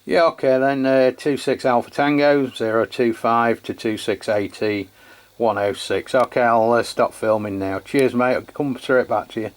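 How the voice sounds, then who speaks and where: A man's voice: mid-range voice, steady tone, moderate pace, a little hiss or hum. A man with an English accent chatting casually and informally as a radio hobbyist, in a matter-of-fact way. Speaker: CB radio hobbyist filming a radio test. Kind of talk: casual two-way radio chat.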